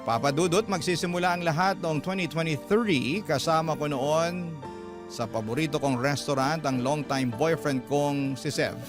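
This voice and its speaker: A man's voice: a little high, normal speed. A man reading out a listener's letter as scripted narration for a radio drama show. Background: background music.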